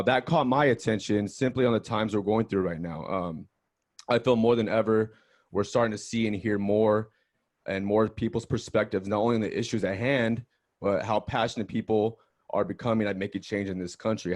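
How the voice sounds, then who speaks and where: A man's voice: fairly low pitch, fairly even intonation, brisk. A man hosting a podcast, talking in a friendly, upbeat way with casual slang. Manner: friendly, upbeat, uses slang like bro and dope. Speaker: podcast host. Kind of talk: casual interview talk.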